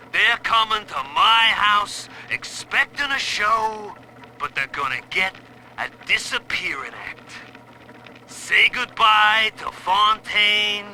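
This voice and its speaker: A man playing a cocky, scheming crime boss, swaggering through a deliberate recorded diary monologue. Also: sits high, sharp tone, slight background noise, heavily acted, rough recording.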